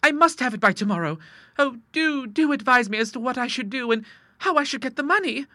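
Brief: high, pleading young lady, close and dry, as a woman acts her. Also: animated, a little fast, bright, forceful tone, no room sound, full character voice. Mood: eager. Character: eager, tender-hearted young woman.

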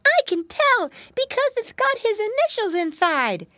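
A woman voicing an excitable little piggy boy who takes charge and lays out plans in a high, childlike voice. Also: a little slow, no echo, full character voice. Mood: eager.

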